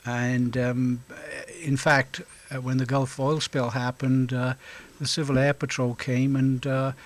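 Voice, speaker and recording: A middle-aged man from South Wales, answering interview questions in a halting way with frequent hesitations and an occasional chuckle. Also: mid-range voice. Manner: halting, lots of hesitations, chuckles now and then. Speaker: guest, volunteer officer.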